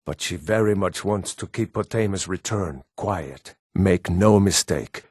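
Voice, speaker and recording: Earnest fantasy Nord townsman, lowish voice, measured and formal, dry close studio sound. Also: a little mellow, breathy edge, dry recording. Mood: calm, formal. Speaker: video game voice actor. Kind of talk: scripted fantasy game dialogue.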